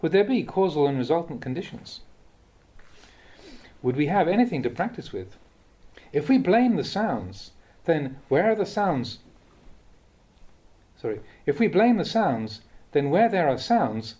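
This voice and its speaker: A man with a soft, mellow voice reads a Buddhist teaching aloud. His delivery is measured and contemplative, yet the pitch rises and falls expressively as he poses rhetorical questions. He sounds like a monk giving a reflective talk, at a medium pitch. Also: very animated. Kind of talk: read-aloud dharma talk.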